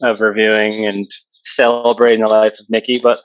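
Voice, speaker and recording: A man's voice: fairly low pitch, flat delivery, a little fast, dull audio. A man hosting a casual podcast chat, thinking aloud and stumbling over repeated words. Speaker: podcast host. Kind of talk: casual discussion.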